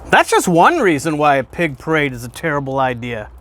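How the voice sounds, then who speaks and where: A man reading a children's picture book aloud in an expressive storytelling way, with emphatic pauses and questions put to the listener. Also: fairly high pitch. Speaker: guest reader, fire department deputy chief.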